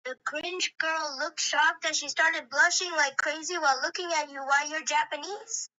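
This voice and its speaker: Very bright, high female chatbot voice. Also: fairly even intonation, normal speed, highs cut off. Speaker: chatbot character voice. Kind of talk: scripted roleplay narration and gushing fan dialogue.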